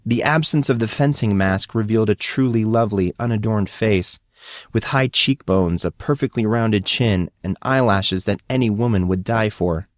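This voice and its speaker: Low-voiced man narrating, close and dry. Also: normal speed, no room sound. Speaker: audiobook narrator. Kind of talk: scripted fiction narration.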